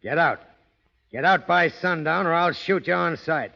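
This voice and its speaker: High-pitched sneering western villain. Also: male voice, moderate pace, creaky. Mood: menacing. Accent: American. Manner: taunting, belligerent. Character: swaggering, sneering western villain spoiling for a fight. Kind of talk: 1940s radio western acting. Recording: dull vintage radio recording.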